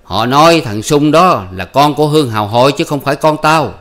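A man's voice: a little high, lively intonation, a little fast, a bit bright, voice pushed up. A man voicing an honest, simple peasant farmer who speaks bluntly and plainly. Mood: defensive. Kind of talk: storybook reading.

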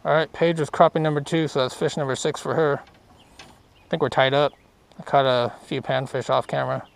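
A young man casually commenting while fishing from a small boat on a lake, easygoing and upbeat, getting excited over each catch. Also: mid-range voice, brisk, creaky. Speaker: fishing vlogger. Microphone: action camera on the boat.

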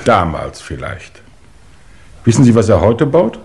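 A chief inspector with a low voice, composed and authoritative. He speaks formally and pointedly, in vintage radio drama style. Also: sing-song, unhurried, vocal fry, a little mellow, full character voice. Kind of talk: 1950s German radio play acting.

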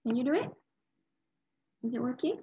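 A woman's voice: sits high, expressive, normal speed, warm tone. A woman in her thirties with a Malaysian accent, teaching an online class informally and often checking in with listeners over a headset on a video call. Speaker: university lecturer leading an online training. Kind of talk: interactive online lecture. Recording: dull, lo-fi video-call audio.

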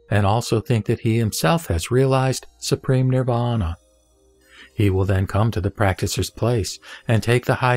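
A man reading a Buddhist scripture aloud in a slow, measured recitation. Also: mid-range voice, no room sound. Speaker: scripture reader. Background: soft background music.

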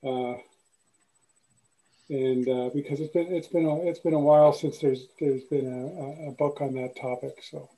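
An older man chatting on a video call from a room full of bookshelves, halting and repeating words. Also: mid-range voice, steady tone, warm tone, rough recording. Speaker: astronomy club member. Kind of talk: casual video call chat.

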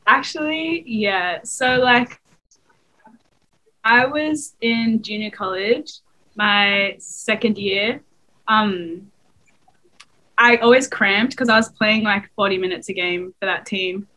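A woman's voice: mid-range voice, takes it slowly, pauses often, bright, forceful tone, low-quality recording. Young Australian woman, chatty. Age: young adult. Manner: casual, chatty, lots of fillers. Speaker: college basketball player. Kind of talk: video call interview. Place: small room, indoors.

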